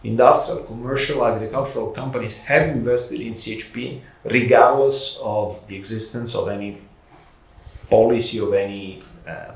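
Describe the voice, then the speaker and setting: A man speaking on a conference panel in a measured, deliberate way with pauses. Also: medium pitch, fairly even intonation, creaky. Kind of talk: panel discussion. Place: conference hall. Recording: echoey conference hall.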